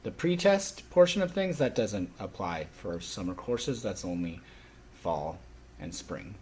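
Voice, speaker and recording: A man giving a spoken course orientation for a class, in halting stop-and-start phrases with stressed openings. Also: deep voice, animated, darkish tone, slight background noise.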